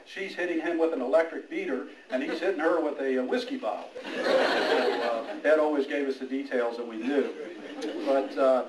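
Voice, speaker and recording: A middle-aged man gives an informal speech to honor a colleague, with a halting, storytelling delivery. His medium-pitched voice is picked up from a distance in an echoey room with a little background noise. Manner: halting, anecdotal, with quoted dialogue. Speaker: police major giving a retirement speech. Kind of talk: informal speech with humorous anecdotes. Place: indoor room at a retirement party.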